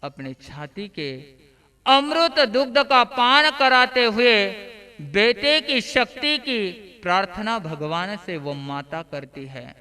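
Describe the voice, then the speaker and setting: A man's voice: sits high, big pitch swings, takes it slowly, bright, forceful tone, clean tone. A man delivering a devotional sermon as a preacher, in an emphatic, oratorical manner with phrases that swell and fall away. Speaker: religious preacher. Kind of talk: devotional sermon with illustrative stories.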